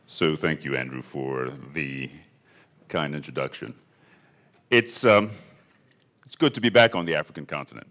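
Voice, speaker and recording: A man from Ethiopia giving a prepared conference talk in a measured, deliberate way. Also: rumbling low, fairly bright tone, slight background noise. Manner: measured, deliberate delivery with lists. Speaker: conference speaker. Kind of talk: prepared keynote speech. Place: conference hall.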